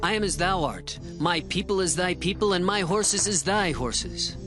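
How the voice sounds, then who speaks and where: A man playing a loyal allied king in dramatized scripture, declaring himself formally and in measured phrases. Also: high-pitched, animated, over music. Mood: loyal resolve. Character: loyal willing male king, an ally. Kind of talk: dramatized scripture audio acting.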